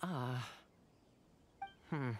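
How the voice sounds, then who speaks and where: An actor playing an affable, scheming young retainer in an anime game, speaking smoothly. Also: male voice, medium pitch, very slow, a little hiss or hum. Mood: measured.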